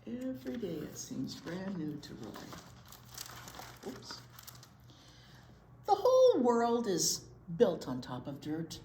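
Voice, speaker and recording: A woman reading a picture book aloud to young children in an expressive way, pausing to explain words simply. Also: medium pitch. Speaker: library storytime presenter, children's teacher. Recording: roomy echo, light background noise.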